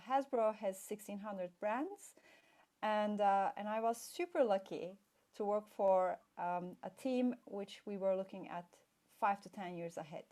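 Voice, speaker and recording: A woman's voice: medium pitch, dark tone. A middle-aged woman from Turkey chats as an interview guest, voice sitting in a comfortable middle range. She wanders through her story with plenty of fillers and restarts. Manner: chatty, meandering storytelling with fillers. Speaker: guest, play expert. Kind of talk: casual interview chat.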